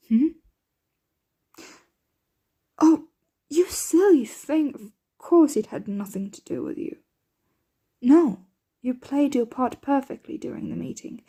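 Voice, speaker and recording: A woman playing a protective, doting yet anxious vampire mistress in an ASMR roleplay, breathy and often whispering, with formal, measured diction. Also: medium pitch, dark tone. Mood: tender, concerned.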